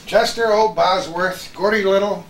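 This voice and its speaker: A man's voice: high-pitched, normal speed. An older man with a genial, folksy broadcaster's way of talking, hosting a casual chat of reminiscences. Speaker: talk show host. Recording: roomy, distant mic, faint noise.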